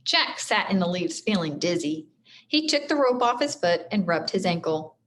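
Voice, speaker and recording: A woman's voice: fairly low pitch, very animated, moderate pace, a little hiss or hum. A woman teacher reading a storybook aloud expressively, with simple explanatory asides for children.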